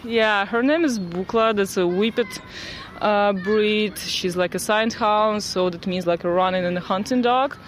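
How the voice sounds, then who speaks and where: A woman's voice: medium pitch, unhurried, slight background noise. A young woman in her twenties from Ukraine, answering interview questions in casual English with many fillers and hesitations, recorded outdoors in a city park. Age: 20s.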